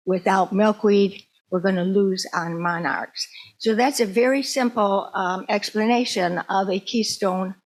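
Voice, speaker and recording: Woman giving an informal talk. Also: mid-range voice. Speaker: presenter. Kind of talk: informal educational talk to a group.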